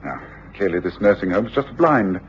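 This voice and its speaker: An English actor playing a commanding, coolly reasoning detective with clipped, authoritative delivery in an old British radio drama. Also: male voice, low voice, lively intonation, a little fast. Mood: cool, resolute. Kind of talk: 1950s-60s British radio drama acting. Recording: echoey, dull, noisy old radio recording.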